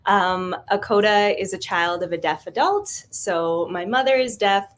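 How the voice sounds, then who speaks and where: A woman's voice: mid-range voice, lively intonation, unhurried. A woman from Canada whose first language is sign language, a musician guest telling stories cheerfully and laughing easily. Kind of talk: casual interview chat.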